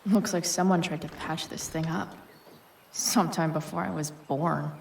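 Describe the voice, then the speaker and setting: A woman's voice: sits low, steady tone, moderate pace, creaky, far from the mic, slight background noise. A woman playing a sullen, sarcastic teenage girl in a video game, talking in a dry, casual, slangy way. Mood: dry, musing. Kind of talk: video game acting, muttering to herself.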